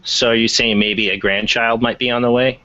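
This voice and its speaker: A man's voice: medium pitch, steady tone, moderate pace, sharp tone. A man chatting casually and off the cuff as the host of a talk show. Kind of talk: casual chat. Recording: dull lo-fi audio.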